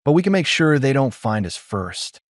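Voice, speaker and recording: A man playing a rugged human warrior with a rough, dryly teasing way of talking. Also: medium pitch, very animated, normal speed, no echo, clean studio sound, voice pushed up, close to an everyday voice. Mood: low steady.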